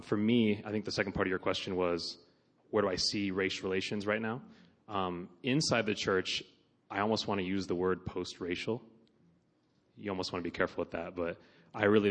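A man in his thirties from Nebraska, introducing himself on a church panel in a relaxed, reflective way. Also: low-pitched. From Omaha, Nebraska. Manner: relaxed, reflective, many ums.

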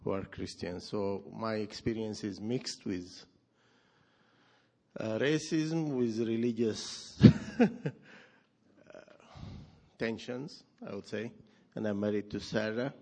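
A man from Sudan introducing himself on a church panel in a measured, plain-spoken way. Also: lowish voice, darkish tone.

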